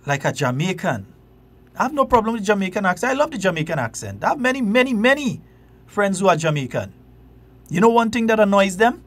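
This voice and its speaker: Grenadian radio host guy, fired up, hammering his point, high voice. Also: fairly bright tone. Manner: passionate, emphatic, preaching. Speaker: radio talk show host. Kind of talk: call-in radio talk. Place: radio studio.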